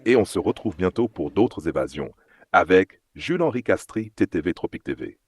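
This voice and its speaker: A man reads the closing voice-over for his travel vlog in a measured sign-off. His voice is very low yet moves widely in pitch. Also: expressive, darkish tone, slightly rough. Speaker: vlog host.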